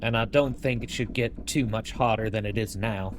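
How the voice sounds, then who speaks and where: A man playing a steady, practical male ship engineer who speaks in a dry, matter-of-fact way. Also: fairly low pitch, moderate pace, a little hiss or hum, lightly acted.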